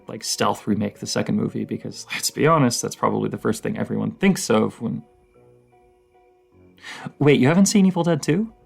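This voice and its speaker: A man playing a dorky, excitable partner who rambles like a horror-movie nerd in a casual, intimate roleplay, stammering and halting with enthusiasm. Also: fairly low pitch, creaky, heavily acted. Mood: nerdy, musing, then excited, teasing.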